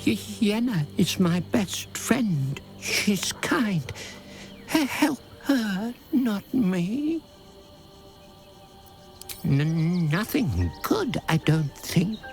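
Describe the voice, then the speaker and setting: A woman voicing a shy, anxious talking cat in a video game, stammering and halting as she pleads. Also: mid-range voice, very animated, darkish tone, soft background music, full character voice. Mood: timid, sad. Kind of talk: video game character acting.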